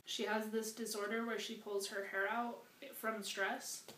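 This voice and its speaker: Young woman, deadpan jokes, mid-pitched, echoey room, faint noise. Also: a little slow. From Colorado. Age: 20s. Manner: deadpan joking. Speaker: roommate. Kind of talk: casual chat to camera.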